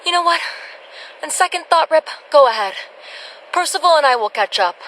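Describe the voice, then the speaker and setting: An actress playing a sensible, long-suffering field nurse in a radio adventure, dry, sarcastic and exasperated. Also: female voice, sits high, animated, moderate pace, a bit bright. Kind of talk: radio play acting. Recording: slightly distant, faint background noise.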